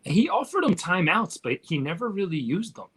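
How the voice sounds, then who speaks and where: A man's voice: sits high, big pitch swings, moderate pace, crowd noise. A man giving his opinions as a panelist in a casual movie discussion. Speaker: film podcast panelist.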